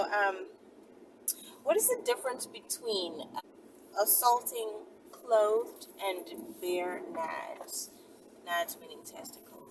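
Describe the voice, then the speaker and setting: A woman chatting casually and unscripted to her viewers, with a drawn-out, hesitant way of talking. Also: medium pitch, far from the mic, background noise. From Bahamas. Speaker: vlogger answering viewer questions. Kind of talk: casual, unscripted question-and-answer chat to viewers.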